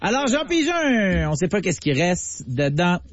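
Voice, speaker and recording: Quebec guy hosting radio, husky and really animated, jokey casual talk, bit lo-fi. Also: high-pitched, measured pace, dry recording. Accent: Quebec French. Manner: joking, colloquial. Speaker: radio host. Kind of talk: casual playful radio banter.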